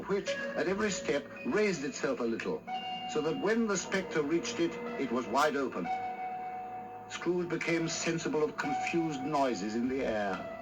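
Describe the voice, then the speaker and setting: Slow, theatrical male storyteller over music. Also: a little high, low-quality recording. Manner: measured, theatrical, deliberate phrasing. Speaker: narrator. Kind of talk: dramatic literary narration.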